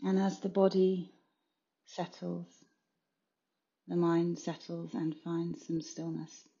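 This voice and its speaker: A woman's voice: fairly low pitch, level tone, slow pace, mellow. A woman soothingly guiding a yoga nidra meditation in short phrases with gaps between them, on a slightly muffled session recording. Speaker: meditation guide, consultant.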